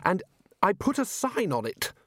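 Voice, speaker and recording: A man's voice: mid-range voice, very animated, unhurried, dry recording, studio quality, voice pushed up. A man voicing a blustering, flustered senior wizard in an audiobook, hastily placating and repeating himself. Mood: indignant. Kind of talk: audiobook character voice.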